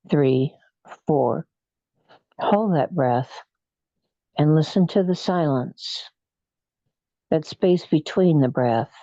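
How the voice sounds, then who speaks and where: A person leading a guided meditation in a soothing, unhurried, hypnotic manner with frequent pauses. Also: mid-range voice, mellow, dry recording, highs cut off. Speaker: guided imagery meditation leader.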